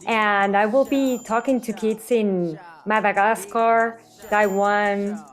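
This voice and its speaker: A woman's voice: mid-range voice, fairly even intonation, slow pace. A middle-aged woman from Mexico City with a Mexican accent and Spanish as her first language, telling personal stories casually as an interview guest, acting out quotes. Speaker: interview guest, health coach.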